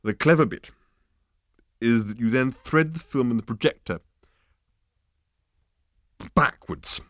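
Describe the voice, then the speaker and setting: A man's voice: medium pitch, slow, fairly bright tone, no room sound, studio quality, pitched above the performer's own voice. A man voicing a drunken, blithely enthusiastic character in an audiobook, rambling with emphatic repetition.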